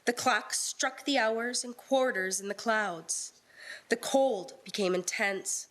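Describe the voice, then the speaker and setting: A woman's voice: fairly high pitch, slow pace, a bit bright. A woman reading scripted story narration in the style of a radio play.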